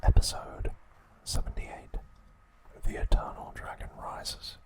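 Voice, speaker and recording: A man narrating a scripted recap in a soft, breathy ASMR whisper, slow and deliberate, in short phrases. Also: rough recording.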